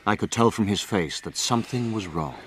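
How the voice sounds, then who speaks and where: An actor playing a man who narrates a mystery in a formal, measured, literary way, as scripted drama narration. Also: fairly low pitch, lively intonation, noisy background, lightly acted. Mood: grave storytelling.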